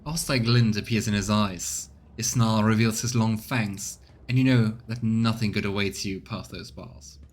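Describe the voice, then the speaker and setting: A man's voice: lowish voice, fairly even intonation, moderate pace, hardly any pauses. A man doing a casual game stream, reading narration aloud and musing between lines. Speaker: let's play streamer. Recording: noticeable background noise.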